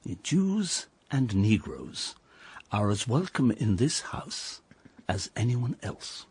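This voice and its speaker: A pompous, old-fashioned English father in a comic radio play. His voice is husky and breathy, his delivery slow and deliberate, and his pitch swoops widely as he lectures. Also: very animated, a little mellow, exaggerated voice. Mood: awkward. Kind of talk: comic radio drama acting.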